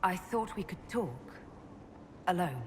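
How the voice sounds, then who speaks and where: A woman playing a composed, supportive leader in a video game, speaking in a calm, poised and direct way. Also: fairly low pitch, slow, slight background noise. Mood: playful, fond. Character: composed, supportive female leader, quietly playful. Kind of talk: video game cutscene acting.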